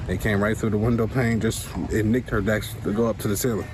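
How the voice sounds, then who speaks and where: A man's voice: fairly low pitch, steady tone, fairly quick. A man with an American accent giving a casual account as a resident interviewed for the news. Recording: noisy outdoor street interview with traffic.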